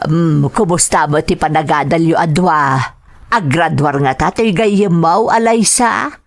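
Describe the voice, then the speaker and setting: A woman playing a caring middle-aged mother in a radio drama, slow and plain-spoken, with an Ilocano accent. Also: low voice. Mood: gently advising.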